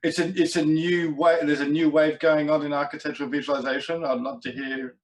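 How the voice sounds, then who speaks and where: A man's voice: fairly high pitch, flat delivery, brisk. A man hosting a casual panel, talking eagerly and repeating words as he thinks aloud. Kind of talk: casual video call panel. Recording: noisy mic with clicks.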